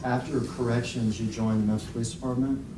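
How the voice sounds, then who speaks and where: A male attorney works through a witness with measured, leading questions, his delivery level and unvaried. He is picked up from across an echoing courtroom, the audio carrying a steady hiss. It sounds like a courtroom feed rather than a close microphone. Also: mid-range voice. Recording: echoey courtroom audio from far off, hissy.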